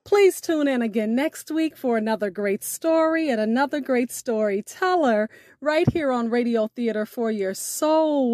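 A woman hosting a storytelling radio show, speaking in a measured, poetic way. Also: a little high, no echo, studio quality.

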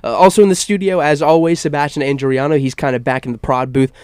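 Stuffy-nosed young college radio host. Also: male voice, fairly high pitch, fairly even intonation, fast, darkish tone, clear, resonant tone, no room sound. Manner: upbeat broadcast patter with frequent stammered restarts. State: stuffy nose. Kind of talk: sports talk radio hosting. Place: campus radio studio.

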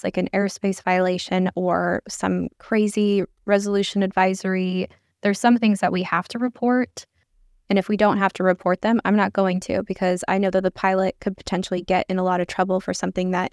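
A woman's voice: medium pitch, clear, resonant tone, dry recording, clean studio sound. A woman giving candid, informal explanations as an interview guest. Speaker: podcast guest, air traffic controller and pilot. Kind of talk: conversational interview answers.

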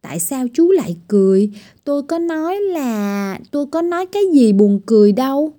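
A woman's voice: sits high, big pitch swings, normal speed, mellow, voice pushed up. A woman voicing a strict, bossy tiny girl doctor in a children's storybook reading, giving firm scolding commands. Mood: stern.

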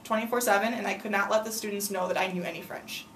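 A woman's voice: medium pitch, fairly even intonation, fast, fairly bright tone, reverberant, recorded from a distance, slight background noise, low-quality recording. A young woman in her twenties, a student teacher, answering interview questions earnestly with frequent ums. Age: 20s.

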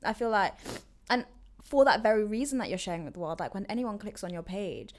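A woman's voice: mid-range voice, animated, fairly quick. A woman hosting a casual interview chat, encouraging and supportive toward her guest. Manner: warm, encouraging. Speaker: host.

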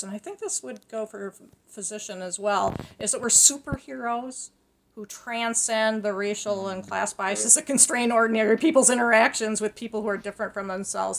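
A woman hosting a podcast, a nursing teacher, talking in a measured, reflective, academic way. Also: medium pitch, gravelly, a bit bright. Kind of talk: conversational podcast talk.

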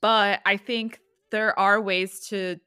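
A woman's voice: mid-range voice, little pitch movement, takes it slowly, bright, forceful tone, clear, resonant tone, no room sound, studio quality. A young woman co-hosting a podcast, chatting casually and reflectively, affirming her co-host. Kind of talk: casual chat.

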